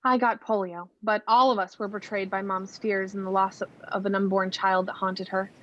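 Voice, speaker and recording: A woman's voice: level tone, normal speed, natural voice. A woman playing a reflective thirty-nine-year-old looking back on her life in a scripted radio play. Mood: somber, reflective. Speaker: actor in a college radio play. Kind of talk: scripted radio drama narration. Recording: muffled with faint rumbling noise.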